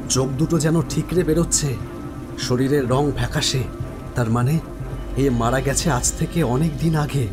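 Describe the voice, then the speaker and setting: A man's voice: medium pitch, normal speed. A man giving dramatic first-person narration of a horror story. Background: music.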